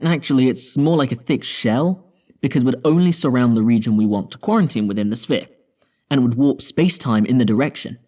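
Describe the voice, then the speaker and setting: A man playing a measured, confident spaceship scientist in an audio drama, explaining in a deliberate way. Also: mid-range voice, warm tone, close to an everyday voice. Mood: calm, resolute. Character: measured, confident male spaceship scientist proposing drastic plans.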